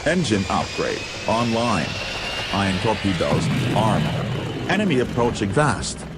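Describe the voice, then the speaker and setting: A man playing a cold, literal tactical droid in an audio drama, speaking in a formal, clipped, deadpan manner. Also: fairly low pitch, a little slow. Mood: clipped, alert. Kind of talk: scripted audio drama acting. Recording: loud music behind.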